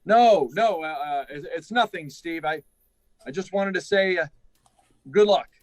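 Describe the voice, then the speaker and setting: Man acting a radio drama flight controller, high voice, dry sound, anxious, urgent, in command. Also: unhurried, no echo, natural voice. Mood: troubled, hesitant.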